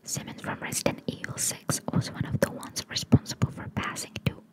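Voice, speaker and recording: Woman, soft unvoiced whisper, ASMR reading, informal and musing. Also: brisk. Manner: informal, musing asides with mild swearing. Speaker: ASMR content creator. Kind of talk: whispered ASMR reading of trivia with casual commentary.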